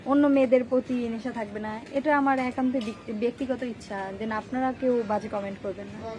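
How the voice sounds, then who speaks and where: A woman's voice: fairly high pitch, fairly even intonation, fairly quick, a little mellow, slight background noise. A twenty-year-old woman with a Bangladeshi accent, answering casual interview questions about her personal life. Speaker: interview guest.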